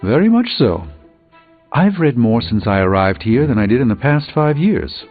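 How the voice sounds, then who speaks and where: An actor playing a proud, learned middle-aged sorcerer superhero in a video game, with formal, pompous and erudite phrasing. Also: male voice, medium pitch, sing-song, normal speed, narrow, tinny audio, faint music behind, natural voice. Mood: reflective.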